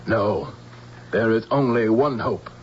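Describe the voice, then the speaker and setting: A man playing a grave, resolute general in a radio drama, speaking in a measured, insistent, emphatic way. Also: lowish voice. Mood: firm, urgent. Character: grave, resolute male general of a future army. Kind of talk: theatrical radio drama acting. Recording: dull, hissy old radio recording with hum.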